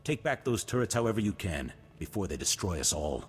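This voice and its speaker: An actor playing a noble, resolute duke in a video game, husky, formal and stately in delivery. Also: male voice, deep voice, big pitch swings, brisk, exaggerated voice. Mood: grave, determined. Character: noble, resolute older duke, solemn war leader. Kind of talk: cinematic video game voice acting. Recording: long hall reverb, music behind.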